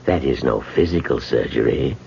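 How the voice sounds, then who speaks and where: An actor playing a frail, rich old man in a radio drama, slow, deliberate and insistent in his persuasion. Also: rumbling low, animated, warm tone, slight background noise, exaggerated voice. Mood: insistent, persuasive. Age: older. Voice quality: husky, gravelly. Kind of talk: 1970s radio drama acting. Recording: dull old radio recording.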